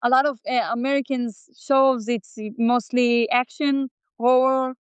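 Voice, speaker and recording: Israeli-born woman, guest singer; very smooth, slightly high voice, dull dry recording. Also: level tone, a little slow, no room sound. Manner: earnest, loose non-native English grammar. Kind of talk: casual interview chat. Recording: dull, dry close-mic audio.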